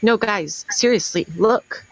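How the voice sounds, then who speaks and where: Woman playing a blunt eager companion, middle pitch. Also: slow, gravelly, no echo, low-quality recording. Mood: insistent. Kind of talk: amateur radio play acting.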